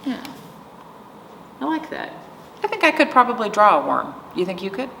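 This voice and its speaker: Female children's storytime host, bright and expressive, coaxing young listeners, some creak, echoey room with faint noise. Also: on the high side, moderate pace, recorded from a distance. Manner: animated, coaxing, talks directly to young listeners.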